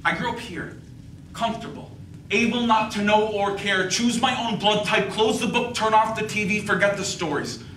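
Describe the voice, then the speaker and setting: A man's voice: high voice, moderate pace, fairly bright tone. A young man in his twenties performing spoken word poetry live at a college event, with a passionate, rhythmic delivery. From United States. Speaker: poet. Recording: echoey hall, distant mic, faint hiss.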